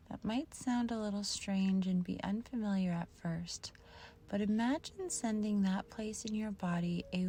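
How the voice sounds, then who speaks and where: A woman narrating a guided meditation in a soft, soothing manner, with long pauses between short phrases. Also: mid-range voice, a little hiss or hum. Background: meditation music.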